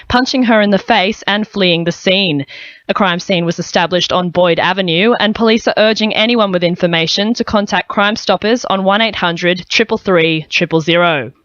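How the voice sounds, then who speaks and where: A woman's voice: mid-range voice, fairly bright tone, no room sound, highs cut off. Australian woman reading radio news, dry sound. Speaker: radio newsreader.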